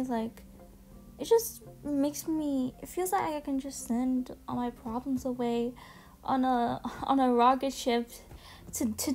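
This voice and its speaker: High-pitched woman gushing in casual commentary. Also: dark tone. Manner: gushing, emphatic, casual swearing. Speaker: video creator presenting a ranking. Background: music under the voice.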